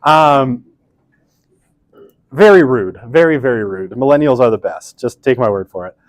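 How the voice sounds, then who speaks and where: A man's voice: medium pitch, normal speed, no echo. Chatty male lecturer in a classroom. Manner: chatty, self-deprecating, many fillers. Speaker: university lecturer. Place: old university lecture room. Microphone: podium microphone, weak pickup.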